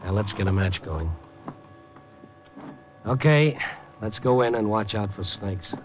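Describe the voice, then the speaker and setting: An actor playing a streetwise American newspaper reporter who acts and narrates in a 1950s radio crime drama, wry and brisk. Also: male voice, on the low side, expressive, slight background noise. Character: hard-boiled male newspaper reporter, wry, wary, streetwise. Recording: muffled old radio recording.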